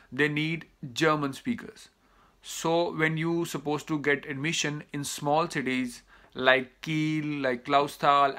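A man's voice: medium pitch, a bit bright. A man in his thirties with a Pakistani accent, a native Urdu speaker, giving advice to students in a casual talk to camera, hesitant, with many "uh" fillers and restarts. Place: small indoor room, plain wall.

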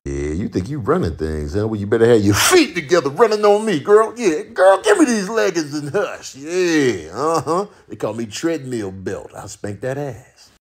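A man's voice: medium pitch, very animated, moderate pace, few pauses. A man voicing a bossy, trash-talking animal in a comic voice-over, with slangy, repetitive banter. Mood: cocky. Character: bossy, trash-talking male animal in dubbed comedy clips.

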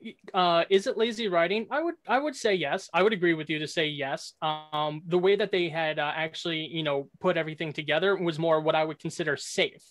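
A man's voice: a little high, a little fast, a bit bright, dry recording. A man debating off the cuff, explaining his reasoning and often checking in with "right?". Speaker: debate contestant. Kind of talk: unscripted debate argument.

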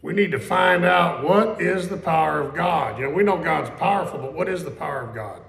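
Gravelly high-voiced male preacher, echoey church. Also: a bit bright. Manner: conversational preaching, repeats questions, quotes scripture slowly. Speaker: pastor. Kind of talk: sermon. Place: church sanctuary.

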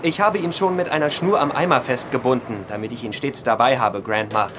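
An actor playing a reluctant, cheeky young farm son in a radio drama, sardonic and protesting. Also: male voice, medium pitch, fairly quick, natural voice. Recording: noisy ambient background.

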